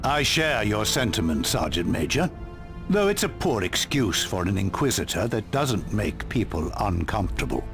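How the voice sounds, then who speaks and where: An actor playing an authoritative military officer in a video game, formal in speech with wry, dry humour. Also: male voice, lowish voice, very animated, moderate pace, music in the background. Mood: wry, approving. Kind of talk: game cutscene acting.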